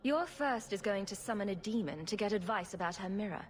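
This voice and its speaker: A woman voicing a steady, blunt hero in a fantasy video game, speaking in a direct, matter-of-fact way. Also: medium pitch, a little fast, close to an everyday voice. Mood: blunt, questioning. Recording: long reverb with faint background noise.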